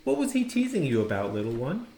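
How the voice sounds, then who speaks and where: A man reading a storybook and voicing a kind, encouraging schoolteacher in a gentle, earnest, emphatic manner. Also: mid-range voice, huge pitch swings, fast talker, creaky, a little hiss or hum. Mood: reassuring. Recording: roomy, echoing indoor sound.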